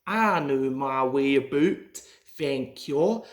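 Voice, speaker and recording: A woman's voice: rumbling low, lively intonation, takes it slowly, pitched below the performer's own voice, heavily acted. A woman voicing a grumpy, boastful male little steam engine in a storybook, blunt and snorting, in an echoey room. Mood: gruff, indignant. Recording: roomy, echoing home recording.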